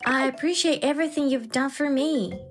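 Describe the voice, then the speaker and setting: A woman's voice: high-pitched, brisk, faint music behind. A woman voicing a young wife who stands her ground, firm and assertive, in a dramatized manga story. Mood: resolute.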